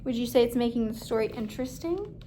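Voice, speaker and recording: A woman reading a children's story aloud as a teacher, pausing to put questions to young listeners. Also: on the high side, expressive, mellow, a little hiss or hum.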